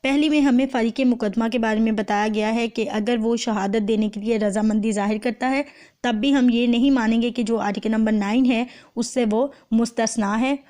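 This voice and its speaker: A woman with a Pakistani accent giving an explanatory lecture as a teacher, mixing in English terms and reading clauses slowly and deliberately. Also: on the high side, fairly even intonation, a little mellow. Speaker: law lecturer. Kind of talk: explanatory lecture with phrase-by-phrase translation.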